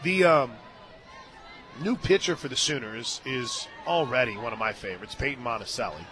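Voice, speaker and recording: A man doing live radio play-by-play of softball, mixing excitable calls with chatty asides. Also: mid-range voice, moderate pace, some chatter behind. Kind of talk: live softball commentary mixed with casual chat. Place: stadium radio booth.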